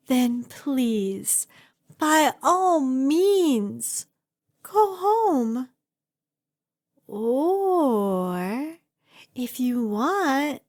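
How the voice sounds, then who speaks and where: A woman's voice: high-pitched, darkish tone, exaggerated voice. A woman playing an obsessive, doting, clingy kidnapper in an ASMR roleplay, with a gushing, coaxing delivery. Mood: reluctant, caring. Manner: gushing, coaxing, dramatic emphasis.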